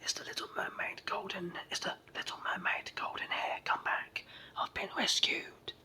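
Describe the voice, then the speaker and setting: A storyteller voicing a joyful young mermaid from a children's storybook in a raspy, eager whisper. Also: moderate pace, bright, forceful tone. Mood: eager, welcoming.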